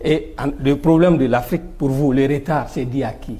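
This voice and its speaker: A man hosting a formal interview, introducing his guest and asking questions in a deliberate, respectful manner. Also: medium pitch, steady tone, mellow. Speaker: interviewer, host. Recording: noticeable background noise.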